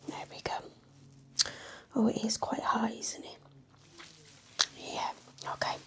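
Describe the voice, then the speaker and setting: A woman whispering gently and reassuringly in an ASMR roleplay as a nurse, close to the microphone. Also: slow.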